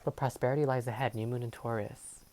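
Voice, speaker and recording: Woman, Tagalog first language, very low pitch, meandering tarot-reading delivery with lots of fillers. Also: fairly even intonation, quick pace, dark tone. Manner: meandering, hesitant, full of fillers and trailing phrases. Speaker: tarot reader. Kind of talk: casual tarot reading monologue. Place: home filming setup. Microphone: overhead camera setup.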